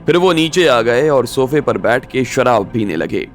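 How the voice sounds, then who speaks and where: A man's voice: mid-range voice, lively intonation, measured pace. A man narrating a scripted audio story as its storyteller. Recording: music bed behind the voice.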